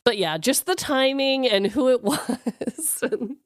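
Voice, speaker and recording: A woman's voice: high-pitched, slow pace, no echo, studio quality. A woman co-hosting a podcast, telling stories in casual, candid chat and swearing freely. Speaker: podcast co-host.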